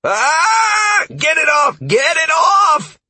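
A man voicing a high-strung, squeamish male adventurer in an audiobook, shrill and exasperated. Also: sing-song, extremely slow, bright, forceful tone, no room sound, raised voice, full character voice. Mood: panicked.

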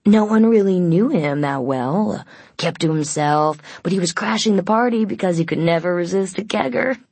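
A woman's voice: lowish voice, normal speed, a little mellow, slightly rough, dry recording, pitched above the performer's own voice. A woman voicing a flippant, snickering teenage boy from a novel, with a mocking, playful manner. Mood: teasing, amused. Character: snickering teenage boy, flippant joker spinning tall tales.

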